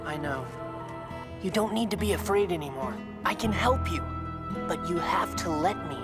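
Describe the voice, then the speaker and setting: A man playing an earnest, determined teenage boy superhero in an animated series. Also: high voice, moderate pace, loud music behind the voice. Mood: gentle, reassuring.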